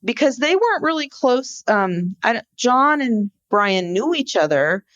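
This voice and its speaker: A woman co-hosting a podcast, explaining a case conversationally in an informal, halting storytelling way. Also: a little high, big pitch swings, a bit bright, no room sound, muffled recording. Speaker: podcast co-host. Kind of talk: conversational true-crime case discussion.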